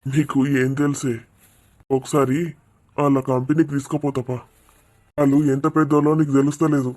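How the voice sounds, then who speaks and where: A man's voice: mid-range voice, little pitch movement, fairly quick, a little mellow, natural voice. A man playing an exasperated middle-aged father in a cartoon. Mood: exasperated, scolding. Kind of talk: animated cartoon dialogue.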